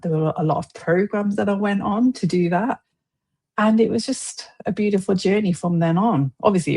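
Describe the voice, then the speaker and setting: A woman's voice: low voice, a little mellow, breathy edge. A woman guest telling her personal story in conversation, candid and informal. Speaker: podcast guest.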